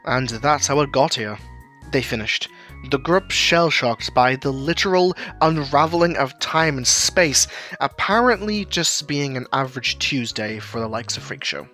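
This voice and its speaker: Male storyteller for an audio drama, mid-range, bright forward tone, music underneath. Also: medium pitch, expressive, unhurried. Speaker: narrator. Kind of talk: scripted story narration.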